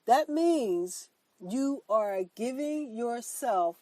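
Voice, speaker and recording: A woman's voice: fairly high pitch, animated, drawn-out words, darkish tone, dry recording. Congested woman giving emphatic faith encouragement. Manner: earnest, repetitive, emphatic preaching; affirming herself with "that's right". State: congested from a cold. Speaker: podcast host. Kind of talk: motivational faith talk.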